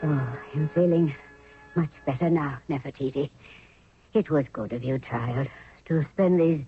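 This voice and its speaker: An actress playing a motherly, knowing old queen in a 1970s radio drama, weary and deliberate. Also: low voice. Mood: weary, brooding. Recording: narrow, tinny 1970s radio recording.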